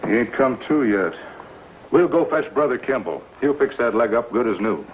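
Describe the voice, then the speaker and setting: A man's voice: mid-range voice, lively intonation, normal speed, heavy background noise, close to an everyday voice. An actor playing a plain-spoken, folksy pioneer man with an urgent manner in a 1950s television western. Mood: urgent, reassuring. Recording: muffled 1950s TV soundtrack, loud music.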